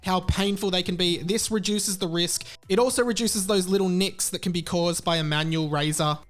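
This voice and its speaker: A man with a notably high voice talks like a casual podcast host. His delivery is frank and informal, and he likes to hammer a point by repeating it. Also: steady tone, moderate pace. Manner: informal, frank, emphatic repetition. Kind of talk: casual podcast talk and chatty ad read.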